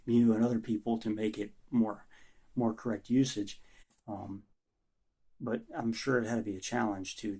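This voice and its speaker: A man who is losing his voice answers interview questions about writing song lyrics, speaking in a halting, thoughtful and sincere way with many pauses. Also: on the low side, mellow, breathy edge. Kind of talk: recorded self-interview answers.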